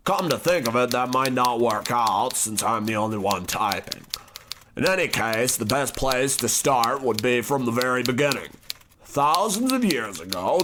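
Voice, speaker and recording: A man playing an earnest, unhinged, well-educated gentleman of the 1920s in a comic radio play, wordy and fussy. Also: mid-range voice, noisy background, lightly acted. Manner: wordy, fussy, self-correcting. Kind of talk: old radio drama parody, letter narration.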